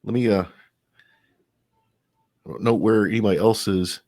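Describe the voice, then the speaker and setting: A man's voice: lowish voice, fairly even intonation, slow, darkish tone. A man co-hosting and organizing a live online event in a casual way.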